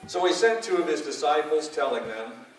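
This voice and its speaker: A man narrating a church drama in a deliberate, solemn scripture-reading style. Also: on the high side, expressive, a little hiss or hum. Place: large reverberant indoor hall before an audience. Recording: very echoey hall, distant mic.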